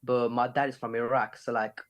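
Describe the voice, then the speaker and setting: A man's voice: mid-range voice, level tone, brisk, a bit bright. A man from Sweden, a rapper and singer being interviewed, chatting casually with plenty of slang filler. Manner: slangy, with frequent "innit" and "you know". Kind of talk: casual chat.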